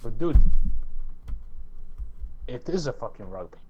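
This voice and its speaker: A man's voice: mid-range voice, sing-song, a little slow, warm tone, a little hiss or hum, low-quality recording. A man from Serbia co-hosting a podcast, talking emphatically with plenty of swearing and lots of "dude". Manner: emphatic, profane, says "dude" often. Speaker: podcast co-host. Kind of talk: casual chat.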